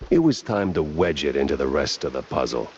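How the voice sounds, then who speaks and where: An actor playing a world-weary cartoon mouse private eye in a video game, with a low, gruff voice and dry, wisecracking noir delivery. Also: male voice, sing-song, fairly quick, lo-fi sound. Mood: wry, weary.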